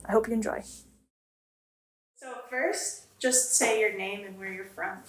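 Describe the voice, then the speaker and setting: A woman's voice: medium pitch, a little slow, rough recording. A woman from Hawaii introducing her film and asking interview questions in a friendly, encouraging way. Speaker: interviewer and filmmaker. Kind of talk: casual interview prompts.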